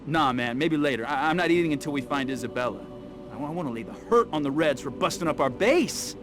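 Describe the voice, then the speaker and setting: An actor playing a brash, sarcastic American street resistance fighter in a video game, wisecracking and excitable. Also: male voice, a little high, fast, over music, lightly acted. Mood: determined. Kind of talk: casual game cutscene dialogue.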